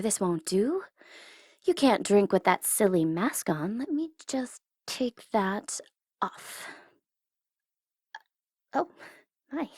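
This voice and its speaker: A woman's voice: mid-range voice, husky, dry recording, studio quality, exaggerated voice. Woman acting a swooping, taunting tsundere supervillainess. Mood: teasing, soft. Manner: taunting and condescending, slipping into flustered softness and whispers. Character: teasing, tsundere female supervillain flustered by her captive hero. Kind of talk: ASMR audio roleplay acting.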